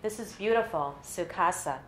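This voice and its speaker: A woman's voice: lowish voice, lively intonation, normal speed, a bit bright. A woman reading the part of an enticing, sensual Mexican-American woman in her early fifties from a short story. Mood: admiring, flirtatious. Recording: roomy, echoey live event recording.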